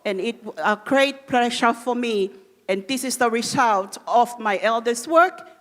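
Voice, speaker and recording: A woman's voice: on the high side, unhurried. A woman gives an earnest church testimony. Her English is simple, since her is Māori. Her voice rings with the long reverb of a church hall. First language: Cook Islands Māori. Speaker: church member giving testimony.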